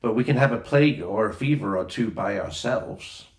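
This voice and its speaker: A man's voice: on the low side, normal speed, close to an everyday voice. A man reading a storybook, voicing an imaginative, adventurous young girl who speaks earnestly and knowingly, in an echoey room. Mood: eager, earnest. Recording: roomy echo on the voice.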